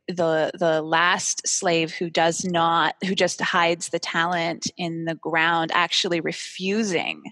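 A woman hosting a podcast, talking in a reflective, conversational way with measured, tentative phrasing, recorded in a reverberant room. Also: fairly low pitch, a bit bright. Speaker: podcast host.

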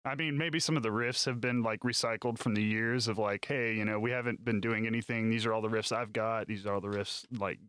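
A man around thirty, a band member explaining calmly in a casual studio chat. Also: fairly low pitch, a little fast, few pauses, clear, resonant tone, no room sound. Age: 30s. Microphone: studio mic.

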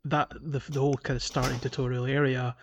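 Male podcast host, mid pitch, narrow range, explanatory and wordy casual gaming chat. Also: level tone, a little fast, a little hiss or hum.

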